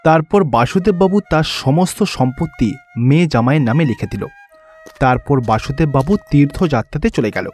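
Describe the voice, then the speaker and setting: A man's voice: on the high side, lively intonation, brisk, darkish tone. A man reading scripted narration for a cartoon fairy tale as its storyteller. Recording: background music under the voice.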